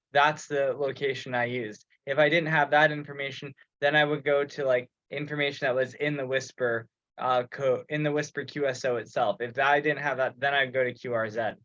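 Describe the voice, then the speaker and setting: A man's voice: medium pitch, a bit bright, no echo. A man taking part in an online meeting, explaining technical procedures in a casual, conversational way. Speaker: meeting participant, technical organizer. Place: online teleconference call. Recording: dull lo-fi teleconference audio.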